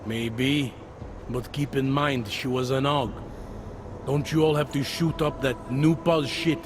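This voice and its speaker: An actor playing a weary, self-deprecating older cop near retirement in a video game, talking in a wry, conversational way. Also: male voice, medium pitch, fairly even intonation, unhurried, background noise, natural voice. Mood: dismissive. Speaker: police officer. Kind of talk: video game cutscene dialogue. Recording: background music under dialogue.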